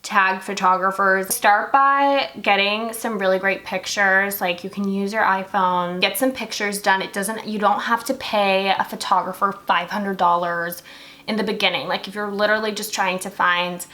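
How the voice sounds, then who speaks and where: Young female beauty vlogger from Singapore, bright tone, rambling casual chat. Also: medium pitch, fairly even intonation, moderate pace. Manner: chatty, lots of "like" fillers. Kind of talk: casual chat while doing makeup.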